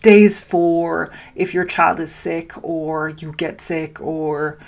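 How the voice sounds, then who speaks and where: Slow, lower-pitched woman podcaster from Denmark. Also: fairly even intonation, gravelly, darkish tone. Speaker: podcast host and spiritual business coach. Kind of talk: solo podcast talk addressed to listeners.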